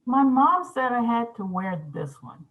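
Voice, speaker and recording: A woman's voice: fairly high pitch, very animated, unhurried, a bit bright. A woman playing a stubborn, sulky eleven-year-old girl in a screenplay table read, over a tinny line. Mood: skeptical.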